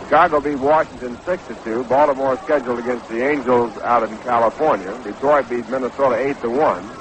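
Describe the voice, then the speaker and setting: A man's voice: medium pitch, fairly quick. Noisy vintage radio American baseball announcer, male. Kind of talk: live radio sports commentary. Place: ballpark broadcast booth. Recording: noisy 1960s AM radio broadcast.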